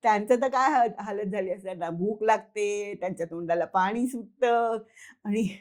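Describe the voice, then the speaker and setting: A woman's voice: medium pitch, normal speed, darkish tone. A woman storyteller narrating informally for children, conversational, addressing listeners and repeating words for effect.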